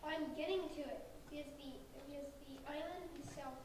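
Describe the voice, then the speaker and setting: A woman playing an earnest, excitable young boy in a staged reading, speaking haltingly and repeating phrases. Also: high voice, close to an everyday voice. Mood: earnest explaining. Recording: roomy, distant, noisy live stage recording.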